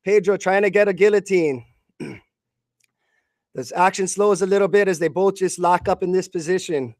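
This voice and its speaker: A man's voice: high-pitched, normal speed, a little mellow, dry recording, clean studio sound. A man doing live blow-by-blow radio commentary on a fight in short bursts.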